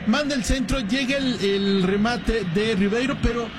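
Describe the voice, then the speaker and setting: This is a man with a notably high voice, breaking down plays as the analyst on a live football broadcast. He talks through each play with small hesitations and restarts. Heavy background noise runs under everything. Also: moderate pace, crowd noise. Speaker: color commentator. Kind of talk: match analysis and studio banter.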